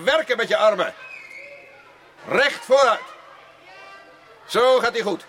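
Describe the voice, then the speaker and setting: Een acteur die in een hoorspel een zwemleraar speelt die luid korte, aanmoedigende bevelen roept. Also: male voice, very high voice, full character voice. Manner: loud, clipped commands. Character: loud encouraging swimming coach calling out drills. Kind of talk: Dutch radio play, shouted instructions. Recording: noisy recording with pool ambience.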